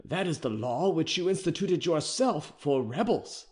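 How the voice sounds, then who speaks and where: A man's voice: fairly high pitch, expressive, a little slow, pitched above the performer's own voice. A man reading an audiobook, voicing a nervous, deferential army captain who stammers and falters. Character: nervous young male army captain, hesitant.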